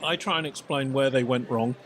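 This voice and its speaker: A man hosting a casual panel talk with dry, deadpan humour. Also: medium pitch, a little fast. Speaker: podcast host.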